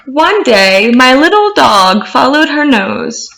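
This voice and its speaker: A woman hosting storytime as a children's librarian, expressive and encouraging as she reads and explains to little ones. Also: high voice, slow pace, gravelly. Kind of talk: storytime read-aloud and teaching rhymes to children. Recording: echoey room, slightly muffled sound.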